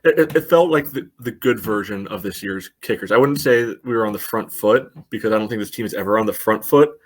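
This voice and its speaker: A man's voice: fairly low pitch, fast talker, slightly rough. A man co-hosting a casual soccer podcast, talking at length with many "you know" fillers. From United States. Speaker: podcast co-host. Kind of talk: casual sports chat.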